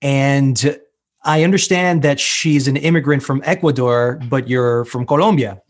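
Guy interviewing an actress, long chatty questions, regular pitch. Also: a little slow. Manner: conversational, asks long framing questions.

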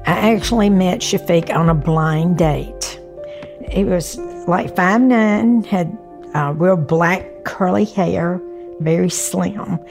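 A woman's voice: lowish voice, slow, vocal fry, over music. A woman in her sixties with a Southern US accent, telling stories in a chatty, down-to-earth way in an interview. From Alabama, US. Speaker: interviewee, mother. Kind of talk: interview recollection.

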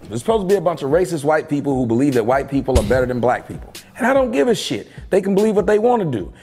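Folksy, high, lively-voiced joking man. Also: racing, faint music behind. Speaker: video maker. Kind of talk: casual commentary video. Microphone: played from a video.

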